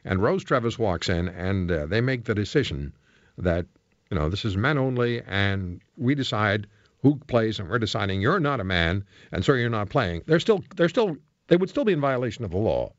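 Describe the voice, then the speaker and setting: A man's voice: on the low side, sing-song, normal speed, no echo. A man from Canada hosting a live radio interview, probing in a conversational way. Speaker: radio host. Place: radio studio. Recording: slightly muffled, dry radio studio sound.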